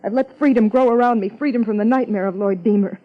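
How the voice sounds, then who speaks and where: A woman's voice: a little high, fast talker, close to an everyday voice. An American woman playing a bitter, guarded wife of a missing man in 1950s radio drama, speaking formally and evasively. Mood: bitter, defiant. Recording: muffled 1950s radio broadcast recording.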